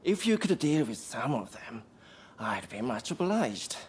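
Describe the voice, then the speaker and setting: An actor playing a weary, businesslike law enforcer in a video game cutscene, with a measured delivery. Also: mid-range voice, very animated, darkish tone, a little hiss or hum.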